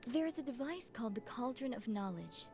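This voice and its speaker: A woman's voice: fairly high pitch, animated, fairly quick, darkish tone. A woman playing an unflappable talking book in an anime game, speaking in a composed, formal, matter-of-fact way. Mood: calm, instructive. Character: blunt, unflappable female talking book with lost memory. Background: game music.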